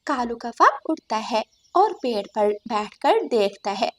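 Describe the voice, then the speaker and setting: A woman's voice: a little high, sing-song, a little slow, a bit bright. A woman narrating a scripted cartoon story as the storyteller.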